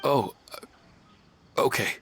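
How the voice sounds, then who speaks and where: A man's voice: extremely slow, fairly bright tone, slight background noise. An actor playing a bewildered, apologetic married man in a video game, speaking in a hesitant, dazed way. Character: dazed, apologetic husband waking from a dream. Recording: music underneath.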